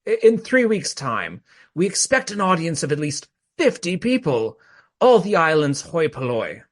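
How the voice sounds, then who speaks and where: An actor in a radio play voicing an affable, vain Regency gentleman of amateur theatre, effusive, plummy and self-satisfied. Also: fairly high pitch, sing-song, normal speed. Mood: pleased.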